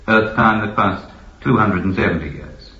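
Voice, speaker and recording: An actor playing a formal, authoritative keeper of an ancient imperial library in a science fiction radio drama. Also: male voice, fairly low pitch, normal speed, muffled recording, lightly acted. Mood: informative, detached. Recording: echoey, band-limited old radio recording.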